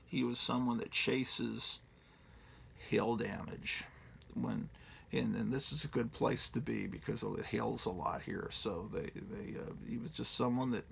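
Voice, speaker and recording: Middle-aged guy with a real gravelly fry in his voice, rambles and cusses, faint hiss behind him. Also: mid-range voice, a little slow, a bit bright. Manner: profane, digressive, self-mocking. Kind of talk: casual rambling show-and-tell.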